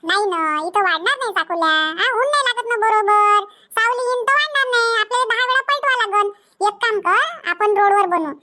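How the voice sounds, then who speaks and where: Cartoon village kid: extremely high, bright voice, pushy and cajoling, Varhadi Marathi accent. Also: brisk. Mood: persuading. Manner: insistent, cajoling. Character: pushy, wheedling village boy, the friend. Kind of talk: cartoon comedy dialogue.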